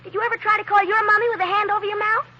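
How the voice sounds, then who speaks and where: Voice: extremely high pitch, little pitch movement, rapid-fire, sharp tone, muffled recording, exaggerated voice. An actor playing a mischievous, talkative little boy in a 1940s radio drama, with naive, chatty phrasing. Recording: old radio recording, faint noise.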